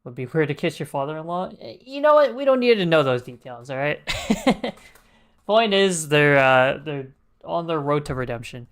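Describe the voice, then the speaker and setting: A man's voice: fairly high pitch, big pitch swings, moderate pace, fairly bright tone. A man livestreaming a game with casual, joking commentary, reacting off the cuff. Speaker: streamer. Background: game music.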